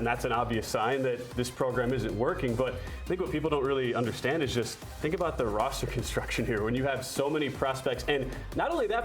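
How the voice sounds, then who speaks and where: Fast-talking young male sports announcer. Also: mid-range voice. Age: young adult. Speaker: radio play-by-play sports announcer. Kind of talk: studio sports interview, conversational. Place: TV studio.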